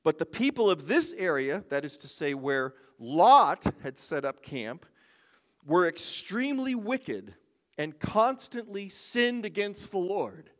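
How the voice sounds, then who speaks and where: A man's voice: high voice, big pitch swings, slow pace, fairly bright tone. An older man preaching a sermon from a church stage lectern, conversational, with joking asides. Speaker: pastor.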